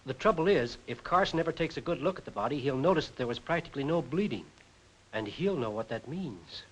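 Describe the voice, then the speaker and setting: An actor playing a nervous, compliant morgue doctor in a 1940s crime film, hesitant and deferential. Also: male voice, a little high, lively intonation, a little fast, natural voice, low-quality recording. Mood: uneasy, matter-of-fact. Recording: old 1940s film soundtrack.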